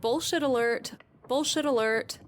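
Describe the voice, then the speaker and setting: A woman's voice: on the high side, measured pace, close to an everyday voice. A woman acting in a conversational stage drama, playing a guarded, sceptical former lover with a blunt, dry, sparring manner. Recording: faint background noise.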